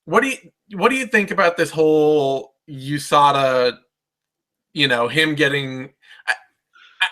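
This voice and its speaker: A man's voice: a little high, a little slow, long pauses, sharp tone. A man hosting a casual sports chat in a rambling, self-deprecating way. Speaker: host.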